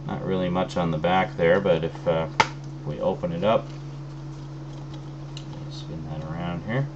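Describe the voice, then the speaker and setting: Deep-voiced guy showing off his discs, lots of ums, bit phlegmy from a cold, faint hum behind. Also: a little slow, muffled recording. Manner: relaxed, rambling, many fillers. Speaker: video host. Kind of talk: casual unboxing chat.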